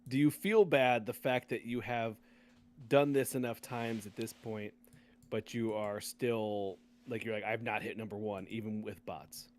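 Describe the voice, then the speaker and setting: A man's voice: fairly low pitch, steady tone, measured pace. A man hosting a gaming podcast, chatting casually. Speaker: podcast host.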